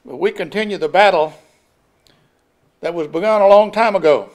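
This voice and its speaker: Older man, high-pitched preacher, builds emphasis, chuckles now and then, pulpit mic. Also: normal speed. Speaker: pastor. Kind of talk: sermon. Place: church sanctuary at a pulpit. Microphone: pulpit microphone.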